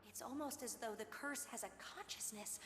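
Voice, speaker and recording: A woman's voice: on the high side, moderate pace, fairly bright tone, a little hiss or hum, close to an everyday voice. A woman voicing an earnest young girl in a video game cutscene. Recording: echoey hall reverb, faint music behind.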